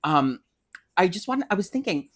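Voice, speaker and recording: Casting: female podcast host, low register, irreverent and sweary, campy slang in loose, casual book chat. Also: big pitch swings, normal speed.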